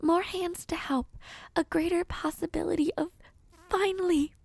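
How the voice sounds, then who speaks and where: A woman playing a gravely ill young princess in an audio drama, gentle but resolute, with soft, breathy, formal and pleading speech. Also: extremely high pitch, steady tone, moderate pace, no echo. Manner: earnest, formal storybook diction. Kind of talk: audio roleplay drama acting.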